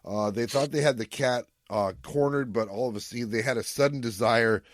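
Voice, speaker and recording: A man co-hosting a podcast, retelling a story in casual chat with animated, acted-out quotes. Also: medium pitch, brisk, no room sound, clean studio sound. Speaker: podcast co-host. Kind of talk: casual recap chat about retro TV sci-fi.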